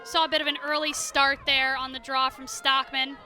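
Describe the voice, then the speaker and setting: American female color analyst, high register, conversational and explanatory, live outdoor stadium with crowd noise. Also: little pitch movement, normal speed, pushed and bright. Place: outdoor stadium broadcast position. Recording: crowd and music behind, outdoors. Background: crowd and music.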